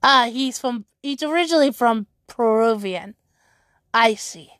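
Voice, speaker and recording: Slow, chatty woman with a higher voice. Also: fairly bright tone, no room sound, studio quality. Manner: rambling, chatty, self-correcting. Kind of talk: casual chat to viewers.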